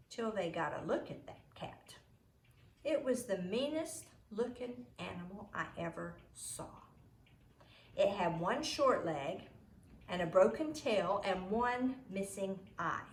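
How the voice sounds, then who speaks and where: A woman reading a story aloud expressively, with deliberate, emphatic phrasing. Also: medium pitch, expressive, roomy sound.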